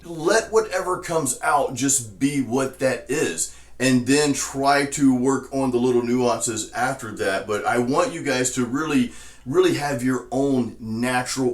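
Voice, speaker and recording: A man with a naturally low voice teaching death metal vocal technique in a relaxed, explanatory way, often saying "you know". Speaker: vocal coach. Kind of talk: casual spoken tutorial. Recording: roomy echo.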